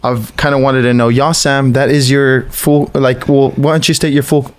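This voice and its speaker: A man's voice: mid-range voice, flat delivery, normal speed, clean tone. A man hosting a podcast in a casual, slangy, joking way. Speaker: podcast host. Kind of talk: casual chat.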